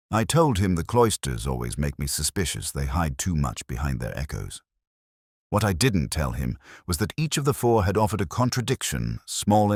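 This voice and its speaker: Very deep-voiced man narrating a detective mystery. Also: no room sound, studio quality. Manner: measured, literary, reflective delivery. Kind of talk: first-person detective mystery audiobook narration.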